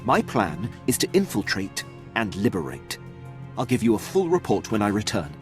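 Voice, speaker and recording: A man playing a dutiful, precise Imperial officer in a video game, with a formal, crisp, deferential manner. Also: on the low side, very animated, moderate pace, soft background music. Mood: earnest, urgent. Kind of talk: scripted video game dialogue acting.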